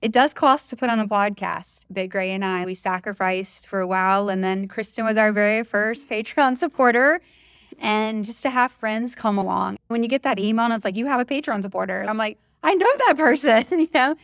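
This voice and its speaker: A woman who hosts a running podcast, talking as an interview guest in a loud, emphatic, enthusiastic way and laughing easily. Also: mid-range voice, brisk, clean tone, dry recording, clean studio sound. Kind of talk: casual interview chat.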